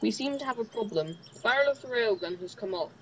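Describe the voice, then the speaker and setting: A woman's voice: on the high side, expressive, fast, fairly bright tone. A teenage girl playing an earnest, determined young male crane driver in a school radio play. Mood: concerned, businesslike. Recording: clearly noisy background ambience.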